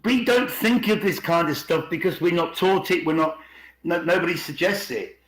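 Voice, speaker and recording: A man co-hosting a podcast, explaining conversationally and slowing down to stress key words. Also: a little high, a bit bright. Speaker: podcast co-host. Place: indoors on an online group call. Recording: roomy, echoing online call.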